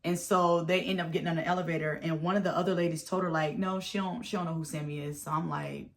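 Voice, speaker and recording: A woman in her thirties with a raspy voice from a head cold, casually telling a storytime vlog with animated retellings. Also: lowish voice, fast talker. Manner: chatty, animated storytelling with acted-out quotes. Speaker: vlogger.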